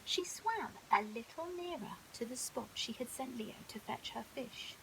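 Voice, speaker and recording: Expressive, high-voiced woman reading a bedtime story. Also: normal speed, gravelly. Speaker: storyteller, primary school teacher. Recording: audible hiss.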